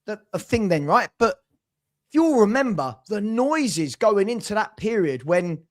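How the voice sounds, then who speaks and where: A man's voice: sits high, big pitch swings. Man making his case with tag questions. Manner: brisk, reasoning, rhetorical tag questions. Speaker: panelist on a football fan livestream. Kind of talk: casual argumentative chat.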